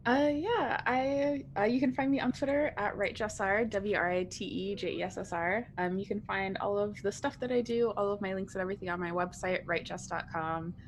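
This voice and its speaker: A woman with a medium-pitched voice talks casually as a guest on a gaming stream. A faint layer of noise sits behind their voice as they list their work. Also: a little fast, hardly any pauses. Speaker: guest player and game writer. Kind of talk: casual chat.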